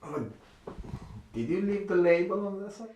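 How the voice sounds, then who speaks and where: A man's voice: high voice, animated, normal speed, a little mellow, lo-fi sound. A man chatting casually and asking brief questions as an off-camera interviewer. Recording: distant echoey room with hiss.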